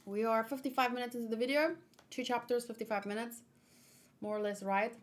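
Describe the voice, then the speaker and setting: Chatty, higher-voiced woman streamer. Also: fairly even intonation, brisk. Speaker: streamer hosting a manga read-along. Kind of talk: casual livestream chat mixed with reading aloud and commentary.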